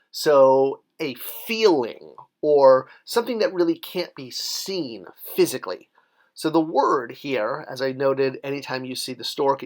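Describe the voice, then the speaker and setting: A man of middle pitch gives a casual drawing lesson, explaining each symbol step by step. He keeps it friendly and pokes fun at his own drawing and pronunciation. The delivery is relaxed, like a teacher talking over his work on paper. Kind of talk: casual tutorial.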